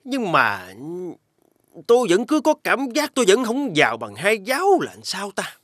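An actor with a southern Vietnamese accent playing a vain, greedy, scheming rich man in an animated folktale, haughty and grumbling. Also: high voice, a little slow, full character voice. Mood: envious questioning.

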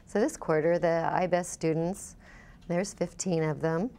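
Low, mellow-voiced woman explaining. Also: fairly even intonation, measured pace. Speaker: college program coordinator and instructor. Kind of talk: explanatory interview talk.

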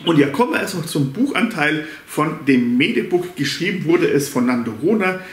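A man in his forties talks through a film review from an armchair at home, pitched a little high for a man. He rambles, leans on filler words and trips over names as he goes. The room is echoey around his voice. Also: expressive, moderate pace. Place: living room at home, indoors. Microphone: camera on a stand in front of an armchair.

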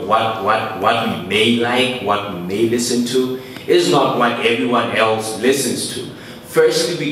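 A man's voice: fairly low pitch, a bit bright. A young man putting an impromptu question at a panel, circling through his phrasing and checking with tag questions. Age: young adult. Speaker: audience participant asking a question. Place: large lecture hall. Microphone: handheld microphone. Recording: echoey hall, distant, faint noise.

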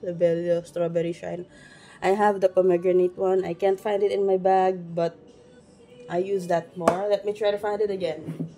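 A woman's voice: lowish voice, little pitch movement, mellow. A young woman from the Philippines, chatting self-consciously through a makeup routine to a phone camera in her bedroom. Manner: slow, self-conscious and rambling, with many restarts. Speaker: beauty vlogger. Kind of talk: casual get-ready-with-me makeup talk. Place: bedroom at home, indoors. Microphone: phone camera at arm's length. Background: background music.

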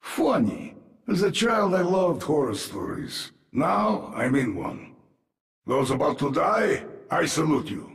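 A gravelly undead Russian commander. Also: male voice, a little high, very animated, full character voice. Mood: dryly sardonic. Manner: deliberate, dramatic, dryly sardonic. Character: undead infested Russian military commander, grim and sardonic. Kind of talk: video game voice acting.

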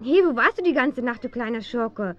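Woman playing plucky girl detective, high animated voice, bold and eager, children's radio play, dry dull recording. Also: normal speed, a little hiss or hum, close to an everyday voice. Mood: eager, excited. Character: plucky, quick-witted teenage girl detective. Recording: dull, dry 1970s audio drama recording.

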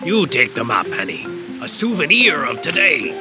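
An actor playing Captain John, a jolly bearded pirate captain, in a cartoon with music behind. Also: male voice, medium pitch, wildly swooping pitch, moderate pace. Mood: hearty.

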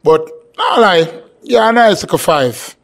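A man's voice: sits high, animated, slow pace, vocal fry, fairly bright tone. A young man, a dating show contestant, talking in a laid-back, teasing way on a handheld mic. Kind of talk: casual chat.

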